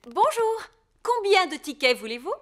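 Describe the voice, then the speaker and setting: A woman voicing a brisk, friendly female rabbit ticket seller in a preschool cartoon. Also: extremely high pitch, sing-song, fairly bright tone, full character voice. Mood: friendly, obliging.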